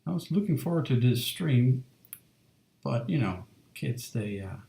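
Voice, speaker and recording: A man in his thirties talks easily to his viewers while sketching, with a dark, mellow voice and a gravelly edge. His chat is loose and full of fillers, and the sound carries the echo of a roomy home studio. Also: medium pitch. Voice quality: soft, relaxed and unhurried. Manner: chatty, lots of fillers, reads chat. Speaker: streamer drawing fan art. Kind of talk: casual live-stream chat while drawing.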